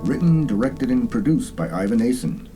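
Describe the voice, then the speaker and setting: A man's voice: medium pitch, big pitch swings, normal speed, warm tone, soft background music. A man as narrator, reading scripted closing credits for a radio play.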